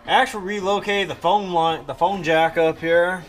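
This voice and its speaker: Young male hobby vlogger, slightly high voice, informal rambling tour narration, some music behind him. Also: steady tone, normal speed, fairly bright tone. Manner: informal, rambling, addresses viewers as guys. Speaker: hobbyist video host. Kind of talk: casual show-and-tell walkthrough.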